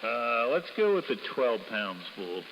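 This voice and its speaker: Male American CB operator, animated and conversational, average pitch, lo-fi radio with very heavy noise. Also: unhurried. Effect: CB radio transmission. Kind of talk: casual CB radio chat. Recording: noisy, muffled CB radio transmission.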